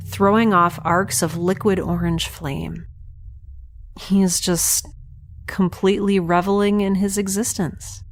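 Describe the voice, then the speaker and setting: A woman's voice: on the low side, a little hiss or hum. A woman with a wry, sarcastic way of talking, hosting and voicing her own audio drama. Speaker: podcast creator and lead voice actor. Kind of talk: audio drama acting and sponsor read.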